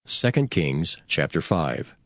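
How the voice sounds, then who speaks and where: A man narrating dramatized scripture as a measured, solemn storyteller. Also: extremely low pitch, very animated, bright, forceful tone, dry recording, studio quality, faint music behind.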